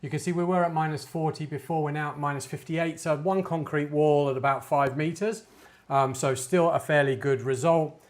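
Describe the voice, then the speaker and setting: A man's voice: fairly high pitch, steady tone, moderate pace. Man thinking aloud through a tech demo. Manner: hesitant, thinking aloud while working. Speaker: tech reviewer demonstrating network gear. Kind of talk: informal screen-share tech demonstration.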